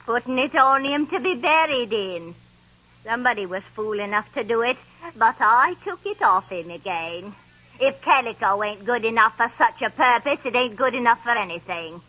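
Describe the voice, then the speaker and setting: A woman's voice: on the high side, expressive, moderate pace, bright, forceful tone. An actress playing a greedy, scornful old charwoman with a coarse, gloating manner in 1930s radio drama acting. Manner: coarse, gloating, cockney-flavored. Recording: dull 1930s radio broadcast.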